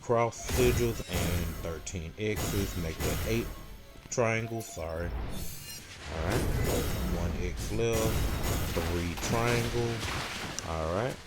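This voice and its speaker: A man with a deep, low-pitched voice talks through gameplay as a guide. His delivery is casual and procedural, calling out each move as he makes it. Prominent game music plays loudly behind him. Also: takes it slowly. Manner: casual, step-by-step play-by-play. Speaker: gameplay guide creator and commentator. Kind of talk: live gameplay commentary, walkthrough.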